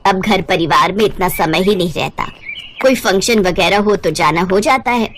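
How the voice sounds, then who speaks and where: A woman's voice: mid-range voice, normal speed, lightly acted. Lady doing a sweet chatty old auntie for a kids story, affectionate, reassuring, bit of background noise. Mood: friendly, chatty. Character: kindly elderly Gujarati woman, chatty cooking teacher. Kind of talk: animated storybook character voice.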